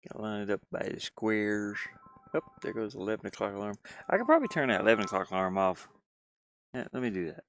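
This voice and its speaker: A man's voice: lowish voice, lively intonation, fast, a bit bright, soft background music. A man streaming casually, rambling and muttering to himself as he thinks aloud while he works on screen. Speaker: game-streaming host and hobby game designer. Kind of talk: casual livestream chat while working on screen.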